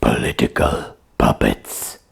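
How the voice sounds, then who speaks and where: A woman voicing a naive sock puppet sidekick in a comic puppet show, asking short, blunt questions in a husky, gravelly, breathy voice. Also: takes it slowly, close to an everyday voice. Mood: earnest.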